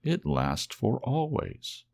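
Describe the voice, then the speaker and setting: A man voicing a wise, gentle old toy horse in a storybook, speaking slowly and patiently. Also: mid-range voice, huge pitch swings, creaky, voice pushed up, exaggerated voice. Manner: slow, patient, reassuring.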